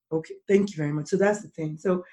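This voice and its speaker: A middle-aged woman hosting a podcast, with a deep, husky voice from swollen vocal cords, talking slowly and deliberately when she explains. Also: dark tone. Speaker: podcast host. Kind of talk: casual podcast interview.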